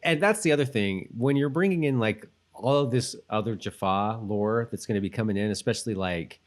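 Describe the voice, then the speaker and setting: A man co-hosting a podcast in casual chat, talking in an informal, halting way and often repeating his words. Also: fairly low pitch. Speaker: podcast co-host.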